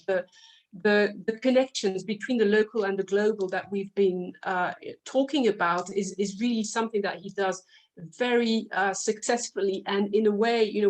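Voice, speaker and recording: A woman's voice: mid-range voice, poor audio. A woman whose first language is French, speaking as a panelist in an academic discussion over a video call, with many fillers and restarts.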